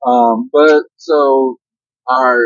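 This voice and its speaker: A man's voice: medium pitch, fairly even intonation, very slow, dry recording, muffled recording. An older man who drives a truck, chatting casually and giving matter-of-fact safety advice. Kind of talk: casual vlog chat.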